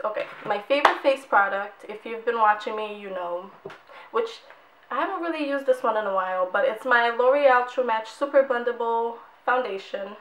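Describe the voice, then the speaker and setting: A young woman, hoarse from losing her voice, chatting casually and rambling as she reviews beauty products, repeating words for emphasis. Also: on the high side, a bit bright. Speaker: beauty vlogger. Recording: echoey room.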